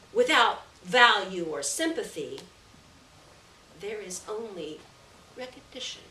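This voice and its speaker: Low-voiced woman reading aloud in echoey room. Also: sharp tone. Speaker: reader of a short story. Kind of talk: literary story reading, scripted narration. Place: roomy venue at a live reading. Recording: echoey room, distant mic, background noise.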